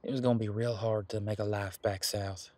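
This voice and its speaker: A man playing an earnest, teasing werewolf boyfriend in an intimate roleplay audio drama, speaking casually and haltingly with a husky voice. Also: fairly low pitch, little pitch movement, darkish tone, no echo, lightly acted. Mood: earnest, hopeful. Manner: casual, halting, conversational with trailing pauses. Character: male werewolf boyfriend, earnest, hopeful, teasing former bodyguard.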